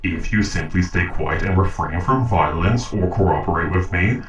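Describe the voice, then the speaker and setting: A man playing a calm, earnest young robot captor in an audio roleplay, speaking formally and precisely. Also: low voice, reverberant, recorded from a distance, dull audio, lightly acted. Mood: firm, reasoning. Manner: formal, measured, polite, precise. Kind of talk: scripted audio roleplay drama.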